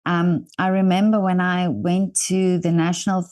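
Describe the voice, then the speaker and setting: Mellow South African-accented woman host. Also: lowish voice, level tone, normal speed. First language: Afrikaans. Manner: warm, encouraging, rambling with restarts. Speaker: interview host. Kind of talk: interview conversation.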